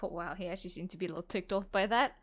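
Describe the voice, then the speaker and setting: A woman's voice: fairly low pitch, rapid-fire. A young woman streamer giving casual, chatty commentary on a game, giggling and filling her talk with "like". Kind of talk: casual let's-play commentary.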